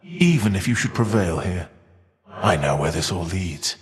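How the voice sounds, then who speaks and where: An actor playing a proud, vengeful, defiant Titan of fire in a video game, speaking with grave, haughty, archaic formality. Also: male voice, low-pitched, lively intonation, unhurried, crowd noise. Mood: haughty taunting. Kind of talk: dramatic video game villain voice acting. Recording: long reverb tail.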